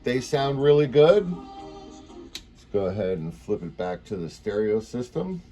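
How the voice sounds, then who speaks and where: A man giving a casual, unscripted product demonstration, talking informally and haltingly as he thinks aloud. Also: fairly low pitch, big pitch swings, dark tone, soft background music. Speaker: presenter demonstrating vintage audio equipment.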